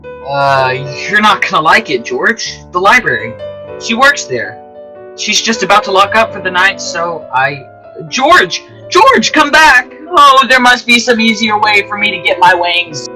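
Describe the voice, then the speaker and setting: An actor playing an earnest, wistful male guardian angel in an old-time radio drama, reasoning gently in a folksy way. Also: high voice, sing-song, unhurried, faint music behind, heavily acted, low-quality recording. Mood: excited, pleading.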